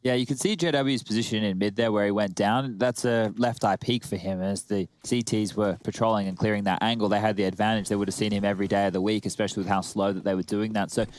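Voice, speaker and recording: A man's voice: lowish voice, fairly even intonation, quick pace, few pauses, no room sound. A man giving analytical commentary on an esports match, explaining tactics.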